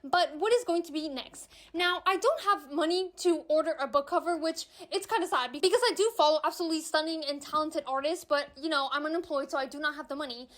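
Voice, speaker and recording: A woman's voice: high voice, fast, few pauses, fairly bright tone. A young woman, a native Portuguese speaker, chatting candidly to camera about her writing, with frequent fillers and emphatic asides. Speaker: vlogger, aspiring author. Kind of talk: casual chat to camera.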